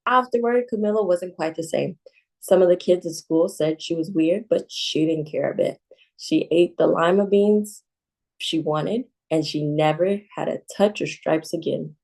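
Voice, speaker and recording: A woman librarian reads a children's picture book aloud, calm and expressive. Her voice sits low and sounds soft and mellow. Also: a little slow, vocal fry, poor audio.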